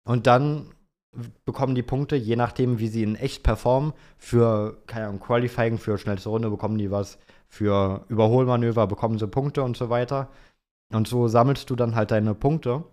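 A man's voice: medium pitch, steady tone, normal speed, darkish tone. A young man co-hosting a podcast, casually answering listener questions in an easygoing, colloquial way. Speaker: podcast co-host.

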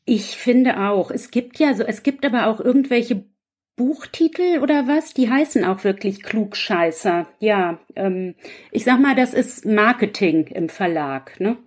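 A woman's voice: fairly high pitch, expressive, a little slow. A woman speaking as a guest author in a casual conversation, chatty and self-deprecating. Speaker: guest author and quiz writer. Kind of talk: casual chat.

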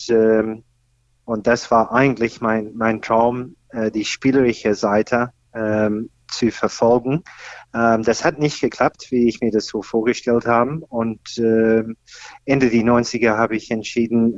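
A man's voice: mid-range voice, no room sound, lo-fi sound. Hesitant man in his fifties. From Australia. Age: 50s. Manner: hesitant, many fillers and pauses. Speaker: golf teacher and senior champion guest. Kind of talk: podcast interview.

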